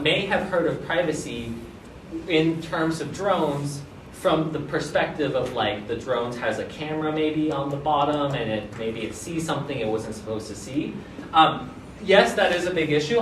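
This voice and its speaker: A man's voice: on the high side, fairly bright tone, slight background noise. Man lecturing in an echoey room. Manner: casual, frequent "right?" checks and restarts. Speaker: visiting researcher giving a seminar. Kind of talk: academic seminar talk. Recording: echoey room, mic at a distance.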